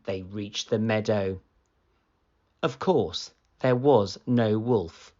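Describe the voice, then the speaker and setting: A woman reading a picture book aloud for children in an animated, deliberate storytelling manner. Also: very deep voice, lo-fi sound.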